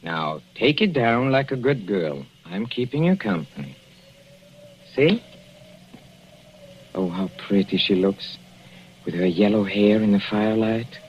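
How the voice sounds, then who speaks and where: An actor playing a sly older husband who coos softly and chuckles while poisoning his wife, in 1940s radio drama acting on a dull old broadcast recording. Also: fairly low pitch, a little hiss or hum. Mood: sinister. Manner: soft and wheedling, chuckling, quietly menacing.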